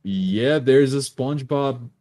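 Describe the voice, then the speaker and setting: A man's voice: medium pitch, slow pace, dark tone. A man chatting casually as one of the players on a tabletop gaming stream. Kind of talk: casual chat.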